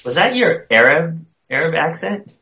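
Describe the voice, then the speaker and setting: A man's voice: a little high, very animated, moderate pace, vocal fry, pushed and bright. A man co-hosting a casual podcast, tossing in joking, amused banter. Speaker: podcast co-host. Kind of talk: casual chat. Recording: noisy recording with clicks.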